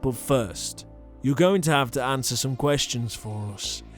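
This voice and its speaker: Man playing a slow, pompous, menacing holy inquisitor. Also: mid-range voice, faint music behind, close to an everyday voice. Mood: threatening. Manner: pompous, deliberate, formal. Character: zealous male holy inquisitor, menacing and smug. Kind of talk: dramatic audio roleplay acting.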